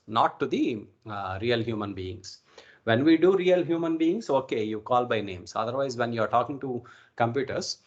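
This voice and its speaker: A man with an Indian accent teaches informally over an online call. His voice sits at a medium pitch, and he explains in an instructive way, often checking in with a quick okay or right. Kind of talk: informal online networking lecture. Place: online video call recording.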